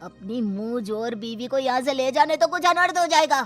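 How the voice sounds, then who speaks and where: A woman's voice: on the high side, moderate pace, faint music behind, natural voice. A woman voicing a stern, domineering patriarchal uncle who scolds and commands in a moral story. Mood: angry, threatening. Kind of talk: Hindi moral story character voice.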